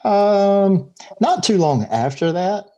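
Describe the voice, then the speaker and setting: A man's voice: high-pitched, expressive, slow, mellow, dull audio. A middle-aged man, talking as a guest on a video call interview, rambling and repeating words as he goes. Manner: talkative, stumbles and repeats words, laughs easily. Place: home room.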